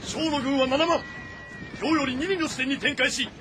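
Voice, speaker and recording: An actor playing an urgent army scout in a historical game, delivering a formal, projected military report. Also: male voice, very high voice, fairly even intonation, normal speed, noisy background.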